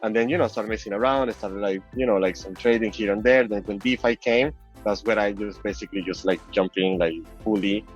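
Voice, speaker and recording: A man's voice: lowish voice, fairly quick. A man from Venezuela with a Venezuelan Spanish accent, chatting casually and rambling as an interview guest, peppering his talk with filler phrases. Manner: rambling, heavy use of "like" and "you know". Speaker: interview guest, crypto app designer. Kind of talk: casual chat. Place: online call. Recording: dull lo-fi call audio, music behind.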